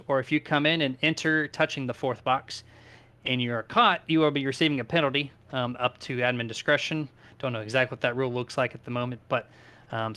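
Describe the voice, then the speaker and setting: A man's voice: mid-range voice, bright, forceful tone, clean tone. A man doing live play-by-play commentary on a sim race in a casual, conversational manner.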